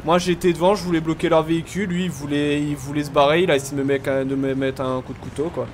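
High-voiced young man, insistent. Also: level tone, fast, slight background noise. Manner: insistent, repeats himself. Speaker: streamer playing a role-play game. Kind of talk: casual improvised game role-play and stream chat.

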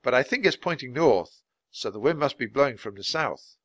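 A man's voice: mid-range voice, expressive, normal speed, fairly bright tone, no room sound. A man voicing a cautious, studious and earnest young boy in a children's audiobook. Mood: earnest, wary.

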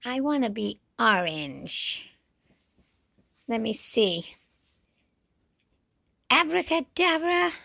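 A woman voicing a cheerful, childlike green dinosaur in pretend play, with a high, squeaky, sing-song voice, gasping, exclaiming and now and then dropping to a whisper. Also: gravelly, bright, forceful tone, no echo, clean studio sound, heavily acted. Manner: gasping and exclaiming, asks questions, drops to whispers.

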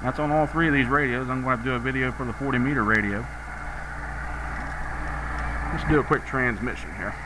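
Loud noise runs all through this amateur camera recording of a man at a radio bench. He has a medium-pitched voice and talks in a folksy, matter-of-fact way, like a hobbyist explaining his technical work informally. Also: a little fast, long pauses. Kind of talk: informal hands-on technical walkthrough. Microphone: handheld camera's built-in microphone.

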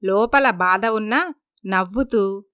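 A woman's voice: mid-range voice, takes it slowly, a bit bright, smooth, no echo, studio quality. A woman narrating a scripted moral family story.

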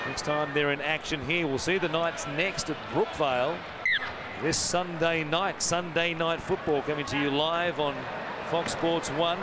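A man calling a rugby league match live from a stadium commentary box, rising in excitement on big plays. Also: on the high side. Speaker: play-by-play commentator. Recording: broadcast with loud stadium noise.

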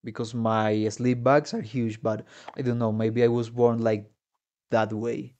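A man's voice: medium pitch, flat delivery, a little slow, darkish tone, lo-fi sound. A young man giving casual, slangy reaction commentary as a musician, exclaiming and thinking aloud. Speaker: musician reacting to game videos. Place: small home room with guitars. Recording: game music playing behind. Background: game trailer music.

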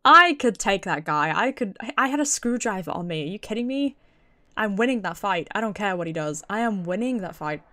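A woman's voice: mid-range voice, lively intonation, fairly quick, pushed and bright. A British woman streamer chatting casually and expressively with her viewers, swearing freely. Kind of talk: casual livestream chat. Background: background music.

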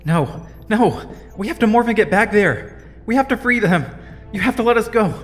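Young male hero played by a man, fairly high voice, anxious, headstrong, audio drama, soft music. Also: expressive, moderate pace, big-room sound. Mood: desperate, angry.

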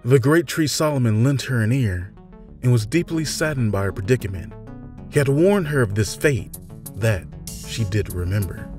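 A man's voice: medium pitch, lively intonation, normal speed, darkish tone. Male storybook narrator over music. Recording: music behind the voice. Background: background music.

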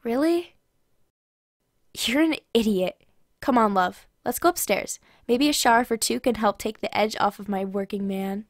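A woman's voice: fairly high pitch, normal speed, no room sound, clean studio sound, lightly acted. A woman acting in an audio drama as an affectionate, teasing wife.

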